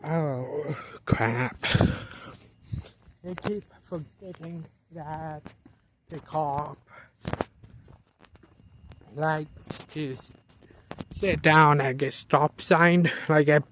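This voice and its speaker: An eighteen-year-old man vlogging outdoors at night, talking to a handheld camera, slow and repetitive, often restarting his sentences. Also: a little high, fairly bright tone, slight background noise. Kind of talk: casual vlog narration.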